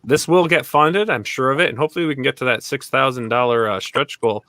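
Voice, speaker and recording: A man's voice: medium pitch, fast talker, pushed and bright, no room sound. A man hosting a casual livestream interview, informal and effusively appreciative in the way he talks. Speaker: livestream show host.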